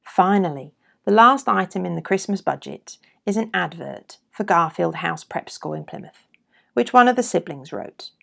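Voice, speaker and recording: A woman reading old letters and adverts aloud in a deliberate, measured way, as a podcast host. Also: on the low side, expressive, gravelly, a bit bright.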